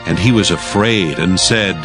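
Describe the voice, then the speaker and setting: A man's voice: low voice, a little slow. A man narrating scripture formally as a storyteller from a script. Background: music.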